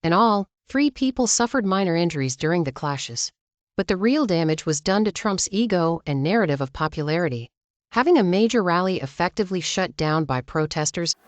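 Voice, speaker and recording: A woman's voice: lowish voice, lively intonation, fairly quick. A woman delivering scripted news narration as a channel's narrator.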